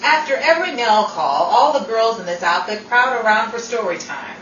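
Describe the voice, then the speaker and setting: A woman plays a wry, soft-hearted servicewoman in forties radio-drama style. Her voice is fairly high and animated. The room is echoey and the sound muffled and noisy. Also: moderate pace, recorded from a distance.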